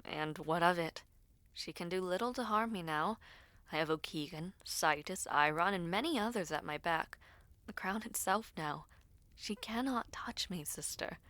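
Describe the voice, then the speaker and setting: A woman's voice: on the low side, moderate pace, fairly bright tone, dry recording, natural voice. A defiant young prince, played by a woman in a dramatic audio story. The boy is proud, hot-headed and scornful, heard in a dry, close recording. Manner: scornful, challenging.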